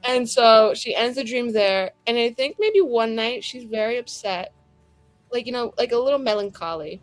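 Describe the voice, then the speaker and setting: A woman's voice: fairly high pitch, lively intonation, normal speed, no echo. A woman playing a tabletop roleplaying game, improvising and narrating in a slangy, sweary, rambling way. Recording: music under the voice. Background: music.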